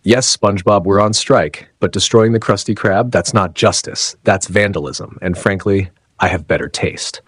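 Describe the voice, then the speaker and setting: World-weary cartoon squid , male reader: superior and dry, low husky voice. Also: moderate pace, few pauses, a bit bright, no room sound.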